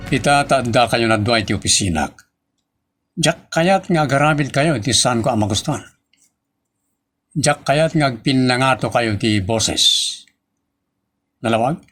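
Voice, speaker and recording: A man's voice: mid-range voice, measured pace, long pauses, a bit bright. A man with a Filipino accent playing a stern, authoritative office boss who scolds his staff in a radio-style drama. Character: stern male office boss, commanding and exasperated.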